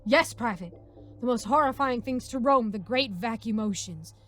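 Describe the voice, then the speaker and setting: Hot-tempered male space sergeant, played by a woman, high-voiced, barking drill commands in a comic audio story. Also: animated, normal speed, fairly bright tone. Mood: grave dread.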